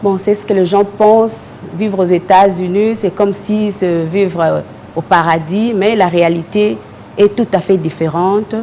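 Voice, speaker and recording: A Congolese woman speaks as a political guest in French with a Congolese accent. Her tone is dark and relaxed, and her answers are measured with some hesitation. Faint noise and ambience sit behind her. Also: mid-range voice. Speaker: political party member, guest.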